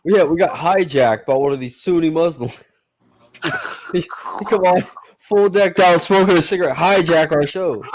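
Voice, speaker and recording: A man's voice: on the high side, normal speed, poor audio. A man hosting a casual stream chat, teasing a co-host and telling stories in a joking manner.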